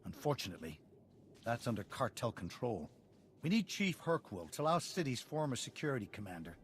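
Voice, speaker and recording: An actor playing an earnest leader of a besieged planet, speaking in a formal way. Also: male voice, mid-range voice, sing-song, brisk, a little hiss or hum, natural voice. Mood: earnest, explanatory.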